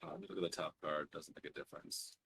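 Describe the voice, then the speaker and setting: A man's voice: very deep voice, steady tone, rapid-fire, vocal fry, bright, forceful tone, slightly rough. A man casually narrating his own moves in a tabletop card game, muttering and counting aloud as he thinks. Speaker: card game player and streamer.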